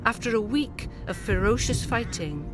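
Woman from wales, first language welsh, radio documentary narration, measured storytelling, soft music behind. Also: medium pitch, animated. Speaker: poet presenter.

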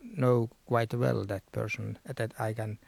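A man from northern Scandinavia with a Sami accent, a musician explaining his music in halting, simple English. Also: mid-range voice, steady tone, creaky, darkish tone. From Sápmi, northern Scandinavia. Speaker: Sami musician, joik singer.

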